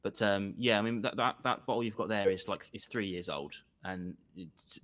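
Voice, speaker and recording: A man's voice: fairly low pitch, moderate pace, a bit bright. A man from England chatting as a guest over a video call, enthusiastic, with frequent restarts and fillers. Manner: enthusiastic, repeats words while restarting, many fillers. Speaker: guest reviewer. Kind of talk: casual conversation.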